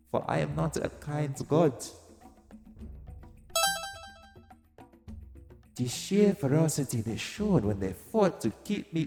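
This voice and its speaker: A man playing an exiled god of vengeance in an audio roleplay, theatrical and deliberate, coaxing and taunting with dark amusement. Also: mid-range voice. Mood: grave, scornful. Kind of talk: dramatic audio roleplay monologue to the listener. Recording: long cavernous reverb. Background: music.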